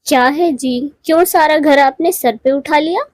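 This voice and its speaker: A woman's voice: high-pitched, normal speed, no echo, voice pushed up, natural voice. A woman voicing a humble, honest younger-sister bird, polite and earnest, in a moral cartoon. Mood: surprised. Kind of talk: Hindi moral cartoon voice acting.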